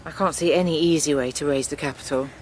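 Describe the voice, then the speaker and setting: An actress playing a protective, practical middle-aged daughter in a radio drama, speaking in a direct, caring way. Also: female voice, low-pitched, fast, darkish tone, slight background noise, lightly acted. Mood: dismayed. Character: protective, practical grown daughter of farming family. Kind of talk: naturalistic radio drama acting.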